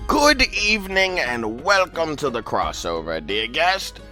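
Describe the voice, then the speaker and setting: Man doing a dramatic tale keeper, slow, all over the place pitch-wise, music under it. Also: very animated, pushed and bright, exaggerated voice. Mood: theatrical. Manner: grandiose, sweeping delivery. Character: theatrical male keeper of tales in an afterlife tavern. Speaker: narrator.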